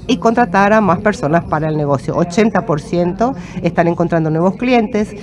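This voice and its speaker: A middle-aged woman whose first language is Portuguese speaks on a conference stage, her voice a little low and steady as she works through figures in a measured way. Her handheld mic picks up the murmur of an audience filling the hall around her. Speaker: conference panelist. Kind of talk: formal panel talk. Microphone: handheld microphone. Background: audience crowd.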